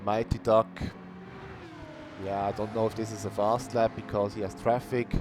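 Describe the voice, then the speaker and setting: A man commentating an online sim racing qualifying session in a plain, unhurried way. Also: on the low side, over music. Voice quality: calls himself monotonous. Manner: unhurried, plain-spoken, bursts of cheering. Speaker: race commentator. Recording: noisy stream audio.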